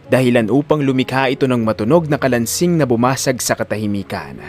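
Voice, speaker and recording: Lively Filipino male story narrator. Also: mid-range voice, fast, soft background music. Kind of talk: scripted dramatic story narration.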